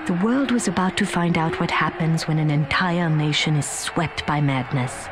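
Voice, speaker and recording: An actress playing a grave, resolute wartime narrator, delivering solemn, deliberate scripted narration for a game cutscene. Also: female voice, sits low, background noise, lightly acted. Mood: grave, challenging. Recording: loud music under the voice.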